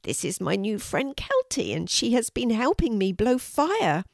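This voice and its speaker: A woman's voice: fairly high pitch, sing-song, a little slow, creaky, dry recording, clean studio sound, voice pushed up, full character voice. A woman voicing a tiny, timid five-year-old boy dragon in a children's storybook, childlike, earnest and friendly. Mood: excited.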